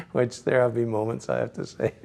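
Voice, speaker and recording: A middle-aged Scottish man, a priest, answering interview questions in a gentle, reflective way with frequent soft laughs. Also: mid-range voice, gravelly. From Scotland.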